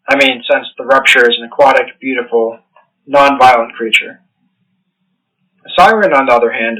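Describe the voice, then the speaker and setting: A man plays an uneasy substitute professor at an occult university. The voice is mid-pitched, husky and forward, with a bright edge, in the scripted lecture style of a horror podcast. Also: low-quality recording. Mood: instructive.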